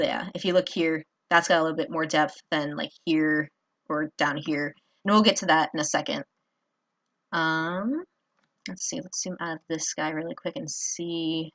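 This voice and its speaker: A woman's voice: lowish voice, fairly bright tone. A tired woman hosting a casual drawing tutorial, rambling with many fillers and apologizing as she works. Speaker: art tutorial host.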